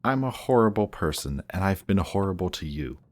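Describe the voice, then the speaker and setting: Deep-voiced, guarded male villain, acted by a man. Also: big pitch swings. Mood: self-doubting, vulnerable. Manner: halting, defensive, reluctantly tender. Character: guarded, grumpy male villain secretly softhearted toward hero. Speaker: voice actor. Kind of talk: roleplay audio acting, intimate one-sided dialogue.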